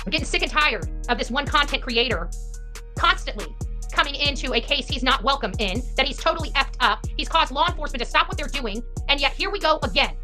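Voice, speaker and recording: A woman's voice: high voice, animated, fast talker, sharp tone, faint music behind. A woman hosting an online true-crime channel, delivering an impassioned, combative rant with emphatic repetition.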